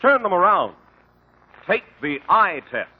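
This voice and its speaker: A male radio announcer from the 1950s, delivering scripted narration formally and dramatically. His pitch moves widely with emphatic phrasing. The recording is thin and band-limited. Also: very animated, measured pace, husky. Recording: thin lo-fi 1950 radio broadcast.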